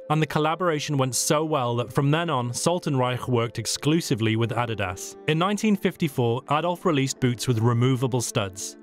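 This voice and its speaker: A man reading a scripted documentary narration as the narrator. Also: mid-range voice. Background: background music.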